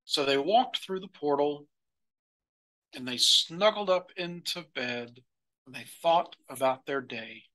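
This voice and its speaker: A man narrates a children's storybook for storytime. His delivery is slow and deliberate, with lively swings in pitch. The voice is bright and forward. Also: low-quality recording. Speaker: storybook reader.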